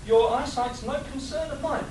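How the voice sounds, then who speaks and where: A man's voice: extremely high pitch, moderate pace, over a noisy crowd. A man playing a courteous, dry-humoured barman type in an amateur comedy radio play. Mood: politely dry. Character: polite, deadpan male servant type, gate guard or barman. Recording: roomy, distant, noisy live convention recording.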